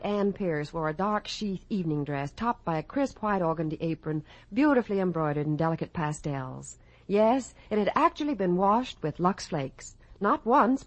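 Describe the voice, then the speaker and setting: Animated vintage radio ad woman. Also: on the low side. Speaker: commercial spokeswoman. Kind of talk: scripted 1950s radio advertisement.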